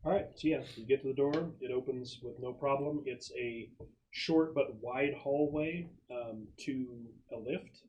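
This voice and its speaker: A man narrating a tabletop game as game master, describing scenes in a halting, improvised way. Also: mid-range voice, a little mellow. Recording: noisy room, clicks.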